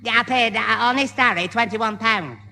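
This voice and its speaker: Dim flustered lad, high piercing voice, stammering, Northern English, old reverberant radio comedy. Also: male voice, moderate pace, vocal fry, bright, forceful tone, exaggerated voice. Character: dim, nervous, muddled lad, gullible sidekick. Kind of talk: vintage radio sitcom acting. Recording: hissy, echoing 1970 radio recording.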